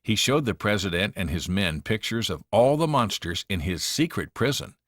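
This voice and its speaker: A man narrating a children's read-along storybook in an expressive, dramatic manner. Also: fairly low pitch, normal speed, no echo, studio quality.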